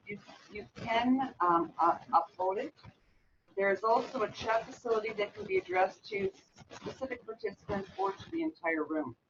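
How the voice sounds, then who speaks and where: A woman's voice: a little high, creaky. A woman hosting a webinar over a video call, reading introductions and house rules with some fillers. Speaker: webinar moderator. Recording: distant dull video call audio with noise.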